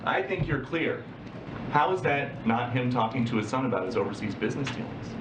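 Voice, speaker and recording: A man's voice: medium pitch, lively intonation, a little fast. An American man asking pressing questions as a reporter at an indoor press briefing. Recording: roomy, clearly noisy briefing audio.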